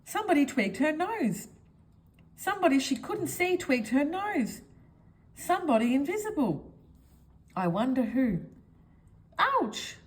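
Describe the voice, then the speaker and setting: A woman's voice: high voice, very animated, measured pace, long pauses, a little mellow. A woman reading a children's picture book aloud, expressively, as if speaking directly to young listeners. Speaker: storyteller.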